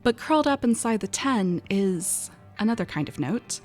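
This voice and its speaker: Female narrator for eerie audio drama: frightened young woman, expressive first-person delivery, breathy under tension. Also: mid-range voice, moderate pace, faint music behind. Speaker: voice actor narrating a short story.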